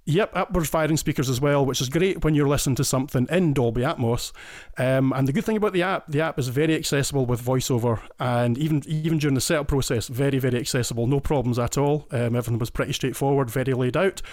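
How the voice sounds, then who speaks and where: A man's voice: medium pitch, fast talker, darkish tone, no room sound. A Scottish man talks in an easy, detailed, chatty way as a podcast guest. His voice sits in a middling register. The sound is dry and close, with no room around it. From Scotland. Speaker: guest listener. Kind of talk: casual explaining.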